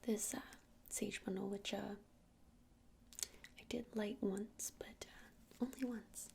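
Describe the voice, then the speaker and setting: Female, ASMR witch shopkeeper roleplay: close breathy whisper, even and unhurried, slightly hesitant, some fry, faint noise. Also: medium pitch. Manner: hesitant, unhurried, soft-spoken. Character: gentle witch minding a crystal shop.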